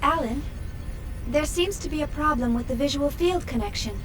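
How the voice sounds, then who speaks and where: An actress playing a gentle, caring young female chief engineer in a video game, speaking conversationally. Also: high-pitched, normal speed, loud music behind the voice, close to an everyday voice, poor audio. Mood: friendly, businesslike.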